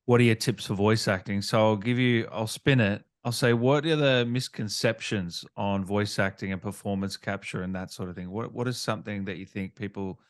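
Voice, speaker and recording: A man's voice: on the low side, fairly even intonation, moderate pace, few pauses. A man interviewing a guest in a casual, conversational style as the host.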